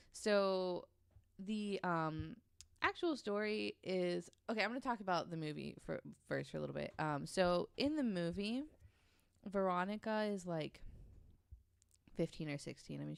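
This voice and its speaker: A woman's voice: on the low side, creaky, clean tone, no room sound, studio quality. An American woman on a podcast panel, telling a story in a casual, rambling, self-correcting way.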